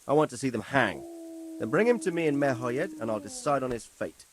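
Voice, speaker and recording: An actor as a stern, commanding medieval lord. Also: male voice, mid-range voice, animated, fairly quick, very noisy, lightly acted. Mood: firm, commanding. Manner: formal, commanding, articulate. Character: authoritative nobleman lord, measured, grave, stern about justice. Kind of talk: video game cutscene acting.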